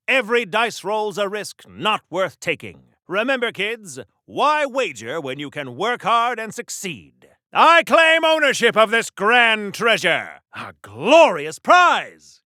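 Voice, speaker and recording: A man's voice: high voice, bright, forceful tone, dry recording, clean studio sound, heavily acted. A man playing a pompous, chipper 1940s patriotic superhero in a video game, declaiming old-fashioned wholesome patter like a radio serial hero. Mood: preachy, then pleased, grand.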